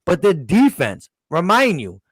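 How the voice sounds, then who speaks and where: Guy hosting postgame talk, voice going hoarse, kinda high pitched, very dry sound. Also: huge pitch swings, a little slow, no echo. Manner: emphatic, repeats "listen". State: nearly losing his voice. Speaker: sports podcast host.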